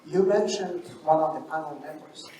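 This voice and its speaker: A man from Afghanistan with an Afghan accent asking a question from the audience in halting, emphatic short phrases. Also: fairly high pitch, warm tone, distant mic, slight background noise. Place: dinner event hall, audience floor. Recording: echoey room, off-mic, clattering dishes.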